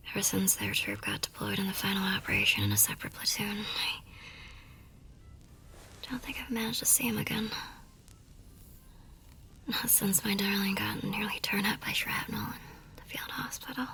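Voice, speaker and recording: A woman playing a tender, wistful young army nurse in an ASMR romance roleplay, with a soft, breathy voice that often drops toward a whisper and an emotive delivery. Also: mid-range voice, animated, moderate pace, pauses often. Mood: wistful, mournful. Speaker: voice actor in a romance audio roleplay. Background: music.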